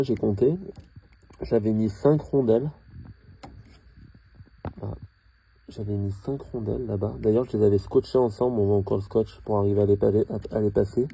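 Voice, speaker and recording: Man, very dark soft-edged tone, creaky low voice, halting casual tutorial, noisy recording with clicks. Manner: halting, thinking aloud while working. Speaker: car mechanic hobbyist filming his own repair. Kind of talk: casual hands-on tutorial narration.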